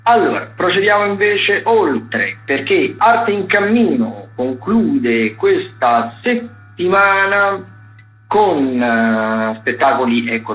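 Male radio host, dull lo-fi sound, music behind, improvised listings, hesitant, self-correcting. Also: a little high. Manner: informal, hesitant, self-correcting, wordy with hedging asides. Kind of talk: loosely improvised radio events rundown.

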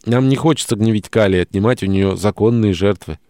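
A man's voice: lowish voice, fast, warm tone, dry recording, clean studio sound, natural voice. A man voicing a pious, submissive young male villager in an audiobook, speaking solemnly. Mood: solemn, devout.

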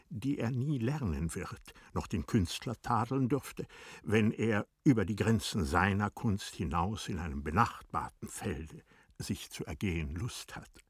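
A man reads literary narration for a radio play in a measured, formal way. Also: lowish voice, breathy edge, dry recording.

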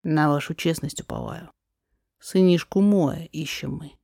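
Woman as a solemn, lowish-voiced gentleman investigator. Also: unhurried, vocal fry, slightly rough, no room sound, clean studio sound, full character voice. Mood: solemn, earnest. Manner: formal, earnest. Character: courteous, solemn gentleman investigator seeking a lost child. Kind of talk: rhymed verse drama reading.

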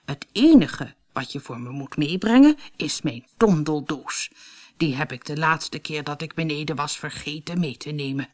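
A woman voicing an old witch in a storybook, coaxing and quick to anger. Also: low-pitched, animated, a little mellow, pitched below the performer's own voice. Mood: coaxing, instructing. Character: old witch woman, coaxing, then furious when refused. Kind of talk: storybook character voice.

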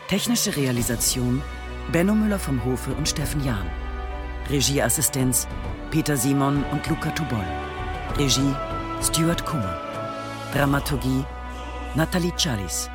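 Mid-pitched male announcer over music. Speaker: credits announcer. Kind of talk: scripted podcast outro announcement.

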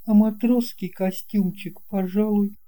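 An earnest young schoolboy in a storybook, acted by a woman. He is shy and thoughtful, speaking in a raised pitch. He hesitates and muses as he goes. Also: fairly even intonation, measured pace, warm tone, lightly acted. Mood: musing. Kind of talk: audiobook character voice.

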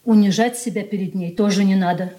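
An actress playing a discontented, proud married daughter who advises and prods in a knowing, aggrieved way in a Soviet radio play. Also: female voice, medium pitch, fairly even intonation, a little fast, dark tone, natural voice. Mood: scheming, instructing.

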